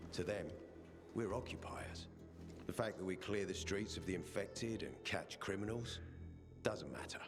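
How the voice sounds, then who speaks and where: An actor playing a stern male peacekeeper officer who speaks in a firm, measured, commanding way. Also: low voice. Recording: game cutscene with music bed.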